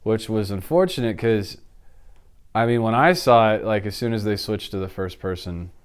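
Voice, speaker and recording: A man in his thirties chatting casually as an interview guest, telling stories in an animated way and acting out reactions. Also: on the low side, normal speed, smooth. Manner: animated storytelling, acts out reactions and sound effects. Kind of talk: casual chat about films and games. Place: indoor office studio.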